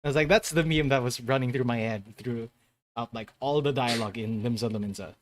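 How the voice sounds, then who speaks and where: A man's voice: mid-range voice, brisk, background noise. Rambling man hosting a gaming stream. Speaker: host of a gaming channel. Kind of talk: casual chat on a stream.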